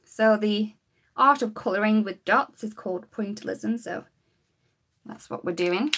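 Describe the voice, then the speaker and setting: Slightly croaky woman demonstrating coloring. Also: mid-range voice, a little slow, background noise. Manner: chatty, talks while working, greets viewers. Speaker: craft demonstrator hosting a live stream.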